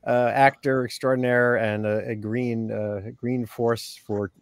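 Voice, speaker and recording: A middle-aged man hosting a video call conversation, talking in a halting way with many restarts and fillers. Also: medium pitch, flat delivery, dry recording, studio quality. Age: middle-aged or older. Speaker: host.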